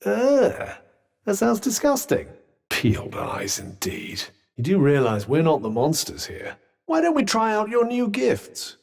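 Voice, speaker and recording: An actor voicing a sardonic, erudite magical talking cuff in a video game, with a British accent, wry and articulate in a pompous way, with a husky voice. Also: male voice, sits high, wildly swooping pitch, unhurried, darkish tone, full character voice. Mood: disgusted, then brisk, instructive. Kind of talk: video game character dialogue.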